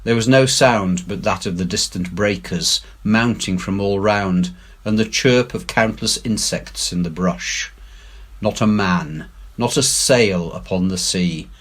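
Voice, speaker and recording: A man giving a dramatic, measured, expressive reading of an adventure novel. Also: lowish voice, husky. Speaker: story reader, head teacher.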